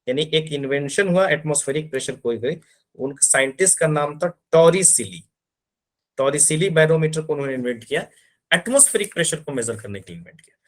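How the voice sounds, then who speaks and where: A man's voice: fairly high pitch, fairly bright tone. A man teaching physics in an online class, explaining step by step and often checking that his students understand.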